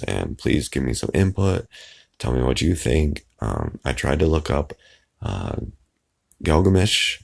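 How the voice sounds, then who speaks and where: Deep, gravelly hoarse-voiced man. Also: darkish tone. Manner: meandering, trails off with many fillers. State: hoarse and raspy. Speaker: video blogger. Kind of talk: rambling casual monologue to camera. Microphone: phone microphone.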